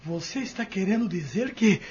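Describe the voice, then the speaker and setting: A man's voice: high-pitched, animated, normal speed, darkish tone, slightly rough, muffled recording, heavily acted. A man playing a scared, pleading younger accomplice in an audio drama, speaking with alarm. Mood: anxious. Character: frightened, pleading weaker brother of a criminal.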